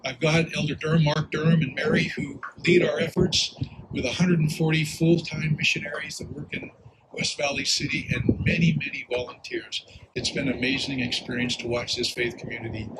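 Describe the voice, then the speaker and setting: A man's voice: a little high, little pitch movement, fairly quick, noisy background. A man giving prepared public remarks and thanking local officials, earnest, hesitating a little as he lists names.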